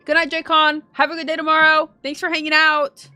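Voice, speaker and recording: Bright-voiced woman streaming, casual and chatty. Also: sits high, monotone, fairly quick, no echo. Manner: informal, chatty, reactive. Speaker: game streamer. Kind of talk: casual live reaction commentary. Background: game audio and music.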